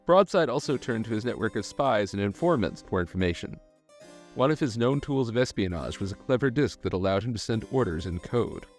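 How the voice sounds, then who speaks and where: A man reading scripted documentary-style narration in a measured, articulate storytelling manner. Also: lowish voice, animated. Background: background music.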